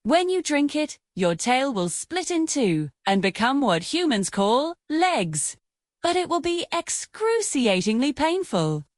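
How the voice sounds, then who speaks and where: Woman reading as an ominous, expressive sea witch. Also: high voice, no echo, studio quality. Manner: ominous, deliberate warnings. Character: menacing female sea witch, horrifying bargaining creature. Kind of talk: storybook narration character.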